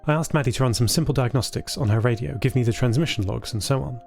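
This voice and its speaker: A male narrator tells a scripted first-person story in a middle-pitched voice. He plays a thoughtful artificial intelligence who cares about others. Music runs underneath. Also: quick pace, creaky, darkish tone. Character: thoughtful, caring artificial intelligence built for communication. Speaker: narrator of an audio fiction podcast. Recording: voice over a music bed.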